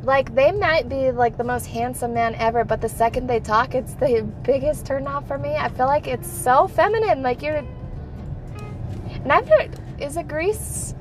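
A woman's voice: high-pitched, unhurried, over music. A woman in her thirties chatting casually as a podcast co-host, full of "like" fillers and casual swearing. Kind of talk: casual chat.

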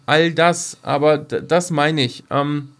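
A man's voice: on the high side, fairly even intonation, takes it slowly, a bit bright. A man chatting casually as a podcast co-host, in a rambling, colloquial and enthusiastic way.

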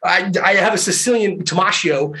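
Rambling, cursing man with a bright voice. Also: sits high, normal speed. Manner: rambling, profane, laughs often. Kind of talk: casual chat.

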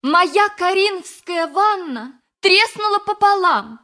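Vain affronted lady, extremely high, sharp, complaining, radio drama. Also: expressive, slow, full character voice. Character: vain aggrieved rich woman lamenting ruined luxuries.

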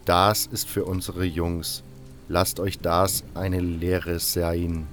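A man voicing a threatening note left by a bandit gang, read slow and low. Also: flat delivery, a bit bright, soft background music. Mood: menacing.

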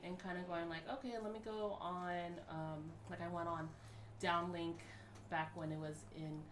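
A woman's voice: fairly low pitch, fairly even intonation, moderate pace, a little hiss or hum. A woman in her late thirties on a casual podcast, hesitant and laid back with many "um"s. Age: 30s. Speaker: co-host. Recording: echoey room.

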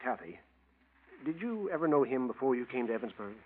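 An actor in 1940s radio acting, playing a gentle, worried middle-aged husband who stammers and hesitates. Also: male voice, mid-range voice, big pitch swings, a little fast, natural voice. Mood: hesitant. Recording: muffled old radio broadcast with faint hiss.

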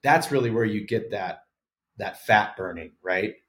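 A man's voice: fairly low pitch, expressive, measured pace, a bit bright. A man in his thirties, a former pro hockey player, explaining things casually as a podcast guest and hedging his claims. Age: 30s. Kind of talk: casual interview chat.

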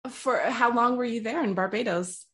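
Woman host asking quick, lively questions. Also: fairly high pitch. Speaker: podcast host. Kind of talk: casual interview chat.

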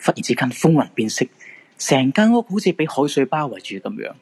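A man's voice: medium pitch, big pitch swings, a little fast, a little mellow, slightly rough. A man with a Hong Kong Cantonese accent narrating a radio drama in a dramatic scripted storytelling style.

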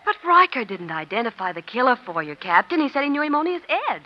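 An actress playing a brisk, wry newspaper reporter in a 1940s radio crime drama. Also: female voice, a little high, very animated. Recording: muffled old radio recording.

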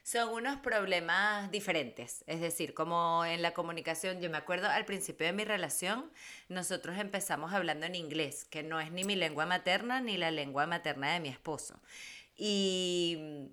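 A woman co-hosting a podcast in an expressive, colloquial, storytelling way. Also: fairly low pitch, normal speed. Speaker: podcast co-host. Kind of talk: casual conversational podcast talk.

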